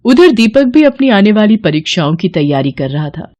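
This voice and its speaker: A woman's voice: mid-range voice, big pitch swings, normal speed, a little mellow, lo-fi sound. A woman reading scripted narration for a children's moral tale.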